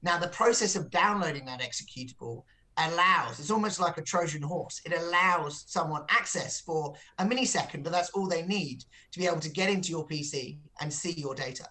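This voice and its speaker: A man's voice: on the high side, a little fast, bright, forceful tone. A man giving a business interview as a company executive, explaining things with frequent repeated words.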